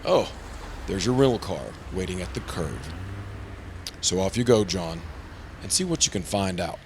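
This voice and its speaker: A man's voice: low-pitched, expressive, normal speed, slight background noise. A man playing a prim, earnest, easily flustered insurance broker in old-time radio drama style. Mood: brisk. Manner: prim, formal, exasperated. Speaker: supporting actor in a radio play.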